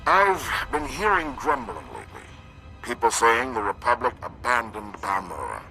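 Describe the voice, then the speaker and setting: A man's voice: medium pitch, huge pitch swings, normal speed, creaky, exaggerated voice. An actor playing a defiant veteran army commander who addresses his troops in plain, rousing, soldierly speech in a video game. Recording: loud music under the voice.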